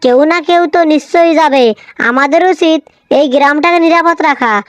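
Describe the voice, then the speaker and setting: An insistent cartoon village wife, played by a woman, practical and decisive. Her voice is high-pitched, with a close, dry recording. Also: brisk, no room sound, lightly acted. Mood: concerned, decisive. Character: assertive, practical village housewife. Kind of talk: Bengali cartoon voice acting.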